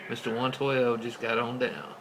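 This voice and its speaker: A man's voice: mid-range voice, little pitch movement, moderate pace. An American man chatting casually in folksy, colloquial CB radio slang. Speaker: CB radio operator. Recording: clearly noisy CB radio setup.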